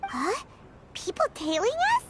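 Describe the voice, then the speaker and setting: Squeaky, tiny childlike fairy companion. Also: female voice, big pitch swings, measured pace, noisy background, full character voice. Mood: startled. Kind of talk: video game voice acting.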